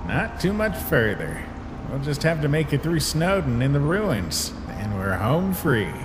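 A man voicing a weary, gentle skeleton monster in a comic dub, speaking in a halting, resigned way. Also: fairly high pitch, very animated, over music. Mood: gentle, tired. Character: weary, guilt-ridden male skeleton monster, protective and gentle. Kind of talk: dramatic comic dub voice acting.

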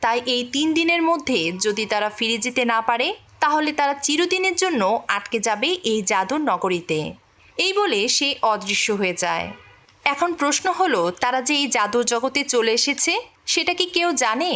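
A woman tells a movie story in a scripted narrator's style. Her voice sits very high. Her intonation is lively, rising and falling widely as she explains the plot.

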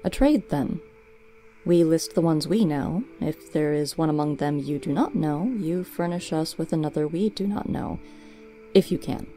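A woman voicing a gruff, watchful male dog familiar in a storybook reading, terse and deliberate. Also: low-pitched, warm tone, faint music behind, lightly acted. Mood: watchful, matter-of-fact.

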